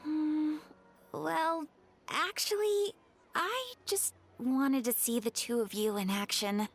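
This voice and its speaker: An actress voicing a polite young guild receptionist in a video game, measured and formal with a quietly mischievous streak. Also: female voice, high-pitched. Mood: politely mischievous. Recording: music behind the voice.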